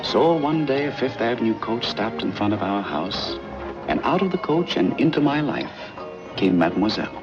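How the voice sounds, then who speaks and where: A nostalgic old film memoir. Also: male voice, mid-range voice, sing-song, moderate pace. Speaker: narrator. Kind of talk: reminiscing film narration. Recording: muffled old film track with music.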